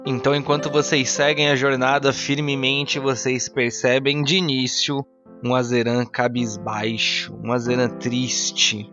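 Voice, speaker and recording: A man's voice: mid-range voice, flat delivery, a little slow. A man narrating a tabletop roleplaying game as game master. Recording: dull lo-fi, music behind.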